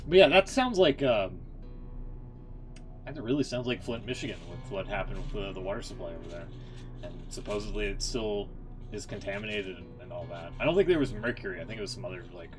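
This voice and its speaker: A man's voice: deep voice, a bit bright. A man casually commentating on a live game stream, giving opinionated, slangy asides. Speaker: game streamer reacting to story readings.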